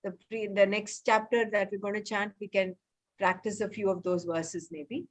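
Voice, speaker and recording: A woman leading a casual scripture study group over a video call, explaining terms patiently and reading passages slowly. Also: medium pitch, steady tone. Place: home, remote meeting.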